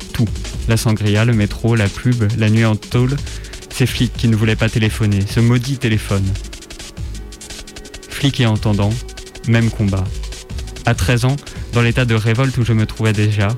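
Low, even-toned man reading over music. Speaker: reader on a radio show. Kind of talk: scripted first-person literary narration.

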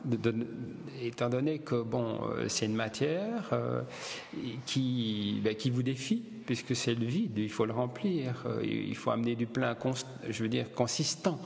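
Gravelly Belgian-born man recalling with hesitations. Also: mid-range voice, measured pace, darkish tone. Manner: hesitant, many fillers and restarts. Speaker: hairdresser, gallery owner and publisher. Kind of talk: relaxed interview storytelling. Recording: long reverb, faint ambience.

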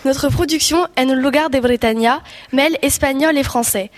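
A woman's voice: high voice, moderate pace. A teenage woman giving a scripted introduction as a student presenter.

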